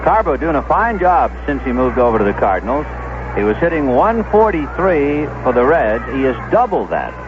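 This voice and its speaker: Lively man calling baseball on vintage radio. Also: noisy background. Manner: measured, descriptive, storytelling between pitches. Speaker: baseball play-by-play announcer. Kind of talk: 1970s radio baseball commentary. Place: stadium broadcast booth. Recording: dull lo-fi vintage AM radio broadcast.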